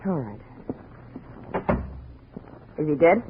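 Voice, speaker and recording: A woman's voice: low-pitched, sing-song, extremely slow, full character voice. An actress playing a tough, jealous, suspicious woman in a 1950s radio drama, curt and cynical in manner. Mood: curt, guarded. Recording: tinny, hissy 1950 radio recording.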